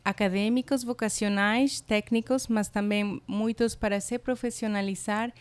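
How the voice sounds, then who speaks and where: A woman's voice: mid-range voice, clear, resonant tone. A middle-aged woman from Mexico, a native Spanish speaker with a Spanish accent, chatting enthusiastically in an interview and using many fillers. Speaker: college international representative. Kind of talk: casual interview.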